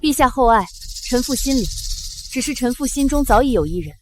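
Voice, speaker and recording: A woman's voice: high voice, lively intonation, fairly quick, background noise. An actress playing a dignified, steadfast young woman general in a historical drama, speaking formally and earnestly. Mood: respectful, wistful. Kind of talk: historical short-drama acting.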